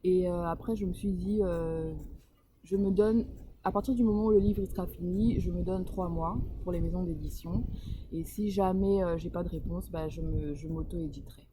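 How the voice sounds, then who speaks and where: A woman's voice: on the low side, steady tone, normal speed, dark tone, a little hiss or hum, low-quality recording. A woman from Congo-Brazzaville, an author being interviewed about her novel, talking casually with frequent hesitations and restarts. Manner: hesitant, many fillers and restarts. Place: home in northern Portugal.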